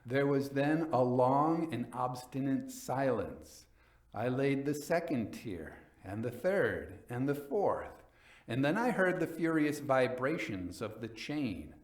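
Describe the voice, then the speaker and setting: Guy playing a cold vengeful nobleman telling a gothic horror story, theatrical, big swings in pitch, kinda echoey. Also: big pitch swings. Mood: cold, measured. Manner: theatrical, deliberate, emphatic. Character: coldly vengeful nobleman recounting his murder. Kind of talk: dramatic reading of a gothic tale.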